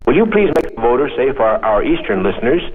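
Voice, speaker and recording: A man's voice: mid-range voice, sing-song, quick pace. A man interviewing formally in the scripted, stagey style of a 1930s radio broadcast. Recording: thin phone-like 1930s radio recording.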